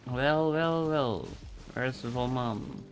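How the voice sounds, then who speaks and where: A man's voice: mid-range voice, very slow. A man doing casual, reactive live game commentary as a streamer, full of slang. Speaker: streamer, VTuber. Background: game music.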